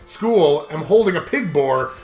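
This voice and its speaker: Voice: medium pitch, expressive, unhurried, a little mellow, close to an everyday voice. A gamer reading a visual novel as a wry, exasperated teenage boy delinquent who narrates his troubles. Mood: wry, baffled. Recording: roomy echo, game music behind.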